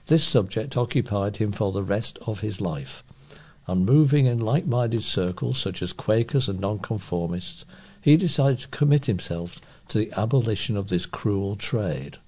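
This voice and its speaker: British guy reading out a local news feature, lowish husky voice, kinda mellow. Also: lively intonation, normal speed. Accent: British English. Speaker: volunteer newsreader. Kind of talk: reading a feature article aloud.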